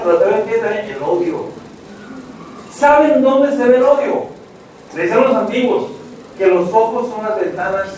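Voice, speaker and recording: A man's voice: high-pitched, slight background noise. A man preaching an evangelistic sermon, telling stories dramatically and acting out voices. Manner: dramatic storytelling, acts out voices, addresses "hermanos". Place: church. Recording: echoey church hall, mic at a distance.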